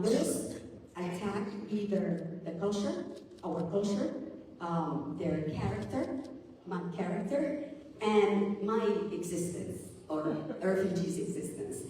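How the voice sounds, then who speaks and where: A woman's voice: fairly low pitch, warm tone. A woman from Sudan speaking on a conference panel, earnest and searching for words as she talks. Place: conference hall stage. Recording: roomy hall, distant mic, faint noise.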